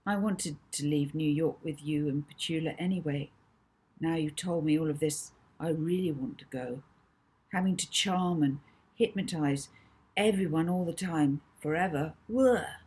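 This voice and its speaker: A woman's voice: sits low, measured pace, a little mellow, breathy edge. A woman voicing a troubled, self-doubting young girl in a children's audiobook, speaking in a hesitant, emphatic, confiding way. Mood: resolved, weary. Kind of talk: children's audiobook character dialogue.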